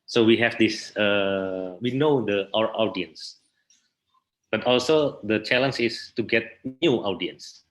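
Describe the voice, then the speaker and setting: A man with an Indonesian accent explaining things in an interview, halting and searching for his words. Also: on the low side. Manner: halting, searching for words in non-native English. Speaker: interview guest, theatre researcher and festival director.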